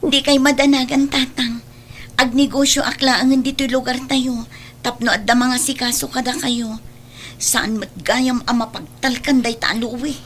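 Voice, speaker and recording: A woman's voice: sits high, steady tone, moderate pace, fairly bright tone, a little hiss or hum. A woman with an Ilocano Filipino accent playing a dutiful, long-suffering adult daughter in an audio drama, earnest and pleading. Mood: reassuring.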